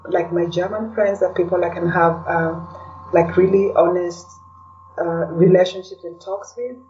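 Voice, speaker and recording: A woman from Kenya speaking as a podcast guest in a casual, reflective way, often pausing to search for words. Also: lowish voice, fairly even intonation, slight background noise. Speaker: interview guest, blogger and podcaster. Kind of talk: casual conversational interview. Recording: distant, dull lo-fi call sound.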